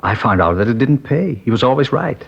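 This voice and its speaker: An actor playing a mild, trusting older man who owns a small dress factory, talking in a gentle, hesitant and rambling way in a 1950s radio drama. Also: mid-range voice, animated, fairly quick, creaky, slightly rough. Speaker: witness in a police interview. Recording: muffled vintage radio broadcast.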